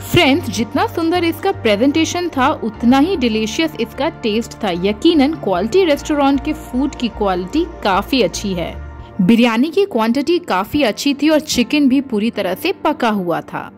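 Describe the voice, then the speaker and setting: Female vlog narrator, Indian accent, high-pitched and chirpy, reading scripted restaurant-review style voice-over over background music. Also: expressive, moderate pace, darkish tone. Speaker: food and travel vlogger, channel host.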